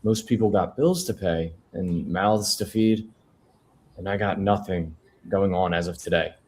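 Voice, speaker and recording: A man reading the part of a weary, wry newspaper proofreader at a film script table read, in a low-key conversational way. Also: measured pace, a little mellow, a little hiss or hum. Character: Cassidy, weary, lonely male newspaper proofreader, wryly curious.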